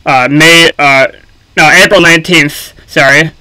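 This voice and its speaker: A man giving casual, unscripted gaming commentary for a video, speaking haltingly with frequent ums and pauses. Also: a little high, steady tone, bright, forceful tone, smooth, rough recording.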